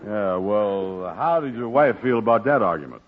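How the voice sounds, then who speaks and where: An actor playing a slow-witted, gullible, literal-minded man who asks naive questions and muddles words in an old radio sitcom. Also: lowish voice, expressive, a faint crowd, lightly acted. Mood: curious. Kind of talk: 1940s radio sitcom acting. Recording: noisy, muffled old radio broadcast.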